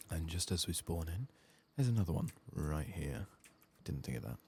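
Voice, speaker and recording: A soft-spoken man murmuring unhurried ASMR commentary over a game, often dropping into a whisper. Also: deep voice, sing-song, vocal fry, breathy edge. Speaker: ASMR gaming host.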